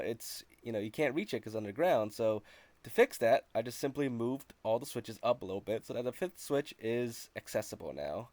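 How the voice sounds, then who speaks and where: A man's voice: medium pitch, darkish tone, no echo. A man narrating a video game walkthrough in a casual, conversational, explanatory way.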